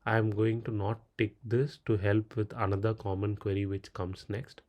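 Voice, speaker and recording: Low-voiced man with Tamil Indian accent. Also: little pitch movement, moderate pace, dull audio. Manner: clipped, reading questions aloud then answering. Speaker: tutorial presenter. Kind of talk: step-by-step screen tutorial narration. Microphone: voice-over on a screen recording.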